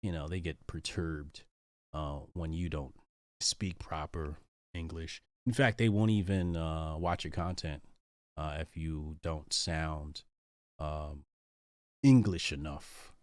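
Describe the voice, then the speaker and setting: Low-voiced hesitant American man reading aloud. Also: fairly even intonation, slow, no room sound, clean studio sound. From United States. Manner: hesitant, full of ums and uhs and restarts. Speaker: commentator reading a book aloud. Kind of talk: reading passages aloud and commenting on them.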